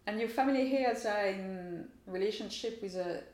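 A woman's voice: medium pitch, normal speed, darkish tone. Woman interviewer in an echoey room. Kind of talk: informal interview questions.

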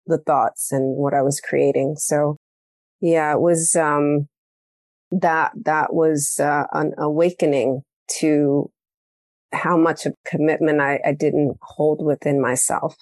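A woman hosting a podcast, telling a story in a casual, animated way and acting out the quoted lines. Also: low-pitched, takes it slowly, dry recording. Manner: animated storytelling, acts out quoted dialogue, laughs often. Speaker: podcast host. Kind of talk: casual conversational interview.

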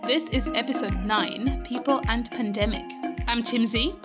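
Malawian woman co-host, measured delivery. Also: a little high. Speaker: podcast co-host. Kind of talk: scripted podcast intro. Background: faint music.

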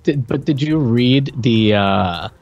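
A middle-aged man hosting a podcast, chatting informally and jokingly about retro games in a halting way. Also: medium pitch, lively intonation, a little mellow, highs cut off, faint music behind. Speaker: podcast host. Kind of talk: casual retro game review chat.

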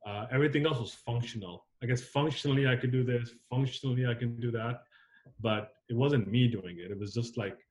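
A man's voice: medium pitch, fairly quick. Reflective man, rambling with fillers. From born in Zambia, raised on the US East Coast. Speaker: filmmaker guest. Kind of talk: casual interview conversation.

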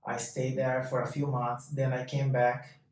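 A man's voice: mid-range voice, completely flat delivery, unhurried, darkish tone, very echoey, distant mic. A man in his late thirties from Brazil, speaking English, answering questions hesitantly and searching for words. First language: Portuguese. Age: late 30s. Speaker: interview guest.

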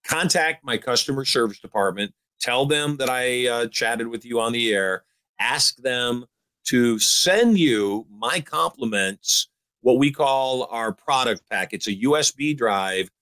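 A man's voice: medium pitch, a bit bright, no room sound, rough recording. A man in his sixties giving upbeat, emphatic advice as a show host. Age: 60s. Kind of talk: motivational call-in advice.

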